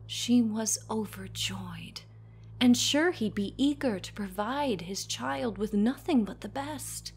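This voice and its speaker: A woman narrating a scripted horror story as a storyteller, dramatic and deliberate, dropping at times toward a whisper. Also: a little high, breathy edge.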